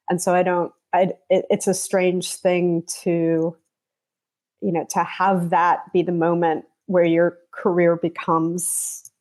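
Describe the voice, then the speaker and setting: A woman from the United States, a travel journalist, answering interview questions in a thoughtful, halting way. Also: fairly low pitch, darkish tone. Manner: thoughtful, with restarts. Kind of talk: conversational interview.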